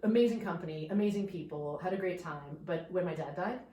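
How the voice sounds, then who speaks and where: A woman's voice: fairly low pitch, fairly quick, distant mic. A woman in her thirties from New York, a life coach, telling her story in a candid, reflective way. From New York suburbs. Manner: candid, reflective, animated at times. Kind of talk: personal storytelling in interview. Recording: echoey room, a bit off-mic, faint noise.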